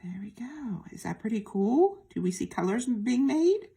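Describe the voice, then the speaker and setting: Woman leading storytime, very lively pitch. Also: moderate pace, gravelly. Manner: sing-song, prompting young viewers with questions. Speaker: children's storytime presenter at a library. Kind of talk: children's lesson with repetition and demonstration.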